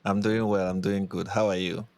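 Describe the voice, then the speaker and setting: A man's voice: sits low, level tone, moderate pace, gravelly, darkish tone. A Nigerian man speaking casually as an interview guest, explaining his views emphatically and repeating phrases. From Lagos, Nigeria. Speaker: YouTube commentator, podcast guest.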